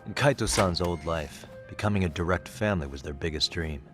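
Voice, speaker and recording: A cool, courteous investigator in a video game, played by a man, with a low voice. He speaks in a measured, probing manner with soft music behind. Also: close to an everyday voice. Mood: polite, reflective. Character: cool, polite male investigator, composed and probing. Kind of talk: video game cutscene acting.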